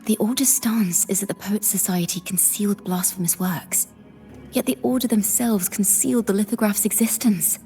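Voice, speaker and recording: A woman voicing a thoughtful, inquisitive young female bard in a video game, speaking softly and in a measured, reflective way. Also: mid-range voice, close to an everyday voice. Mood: inquisitive, reasoning. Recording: music behind the voice.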